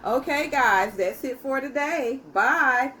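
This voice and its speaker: A woman's voice: sits high, slow pace, bright, forceful tone, slight background noise. A woman hosting a children's story time, reading aloud and speaking directly to young listeners in a teacherly way.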